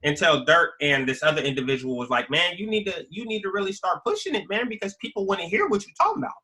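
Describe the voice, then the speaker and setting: A man's voice: high voice, animated, quick pace, pushed and bright. An American man in his forties talking casually and emphatically, telling stories in slangy, repetitive phrases. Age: 40s. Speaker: guest, YouTube creator telling his life story. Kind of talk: casual conversational storytelling.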